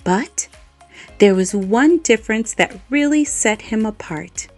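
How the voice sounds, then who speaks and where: A woman reading a children's story aloud, expressive and adding playful little asides to her listeners. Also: fairly high pitch, takes it slowly. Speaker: storybook reader. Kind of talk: bedtime story read-aloud. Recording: faint background music.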